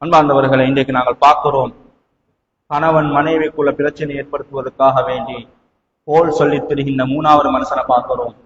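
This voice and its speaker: A man's voice: medium pitch, flat delivery, brisk, lo-fi sound. A man with a Sri Lankan Tamil accent preaching an impassioned Islamic sermon, using rhetorical questions and emphatic repetition. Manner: rhetorical questions, emphatic repetition, swinging between hushed and raised delivery. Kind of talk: impassioned mosque sermon in Tamil with Arabic quotations. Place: large echoing mosque hall. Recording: long hall reverb, noticeable background noise.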